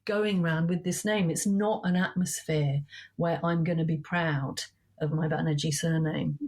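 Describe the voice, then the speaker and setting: Woman, 50s, lowish voice, earnest storytelling, lots of asides. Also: steady tone, normal speed, darkish tone, slightly rough. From England. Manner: earnest, personal, many "you know" asides. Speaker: author guest. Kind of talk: reflective interview storytelling.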